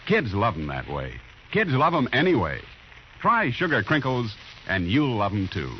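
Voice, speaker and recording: A man's voice: mid-range voice, huge pitch swings, moderate pace, slight background noise. A male radio announcer in a polished, upbeat 1950s style, reading copy on an old radio recording. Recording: dull vintage radio recording. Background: music.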